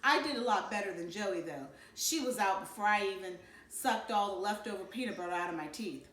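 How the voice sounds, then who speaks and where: A woman reading a children's novel aloud in an expressive storytelling style. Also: mid-range voice, fairly quick, roomy sound, rough recording. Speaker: storybook reader.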